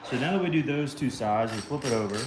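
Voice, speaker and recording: Laid-back male streamer, mid-pitched, talks through his craft, sharp clicking noise behind him. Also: fast, echoey room. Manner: relaxed, reads viewer comments aloud. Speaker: livestream host. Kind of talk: casual live-stream chat while flintknapping.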